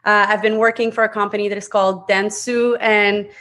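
A woman's voice: medium pitch, little pitch movement, a little fast, fairly bright tone. A middle-aged woman from Montreal with a Quebec French accent, French her first language, chatting as a podcast guest in a friendly, plainspoken way. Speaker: podcast guest, advertising executive. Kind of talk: casual interview conversation.

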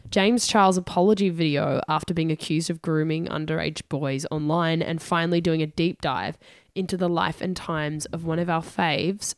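A young woman co-hosting a casual pop culture podcast, joking and relaxed, with a voice worn from losing it. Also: low-pitched, no echo, studio quality. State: losing her voice. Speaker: podcast co-host. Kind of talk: casual chat. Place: home studio at night.

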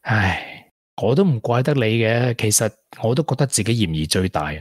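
An actor playing a supportive, sensible young boyfriend in a Hong Kong Cantonese radio drama. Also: male voice, on the low side, big pitch swings, normal speed, no room sound, studio quality. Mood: understanding.

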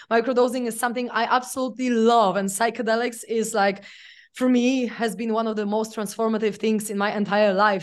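A woman hosting a podcast interview, upbeat and enthusiastic in a casual chat. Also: on the high side. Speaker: podcast host.

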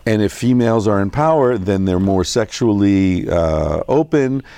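A middle-aged man hosting an interview, chatty and curious, asking questions in a relaxed conversational way. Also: on the low side, moderate pace, clear, resonant tone. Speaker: podcast host.

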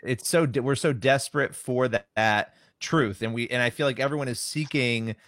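Male host, mid voice, warm-up questions, eager agreement, dry close recording. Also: mid-range voice, normal speed, no room sound. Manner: affirming, enthusiastic, many fillers. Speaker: interview host. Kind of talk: faith-based interview chat.

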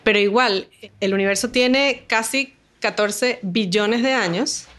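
A woman's voice: fairly high pitch, a little slow, fairly bright tone. A woman from Venezuela with a Venezuelan Spanish accent, a guest astronomer explaining things patiently, step by step, in an interview.

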